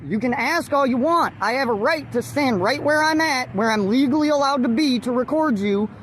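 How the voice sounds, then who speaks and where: Defiant high-voiced auditor on a roadside. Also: female voice, normal speed. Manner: defiant, lecturing, with forced laughs. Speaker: first amendment auditor. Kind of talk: confrontational street recording. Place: outdoors at a roadside traffic stop. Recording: faint outdoor traffic noise.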